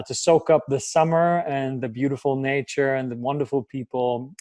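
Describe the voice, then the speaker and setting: A man's voice: medium pitch, dry recording. A young adult man from Germany, whose first language is German, answering interview questions in English with energy and plenty of fillers. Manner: energetic, enthusiastic, many fillers. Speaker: guest, life coach.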